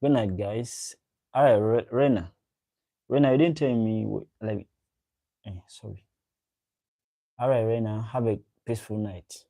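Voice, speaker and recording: Gravelly-voiced man chatting on a livestream. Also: on the low side, a little slow, long pauses, dark tone. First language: a local African language. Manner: rambling, repeats himself, chats directly to viewers. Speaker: livestream host. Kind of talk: casual chat reading live comments.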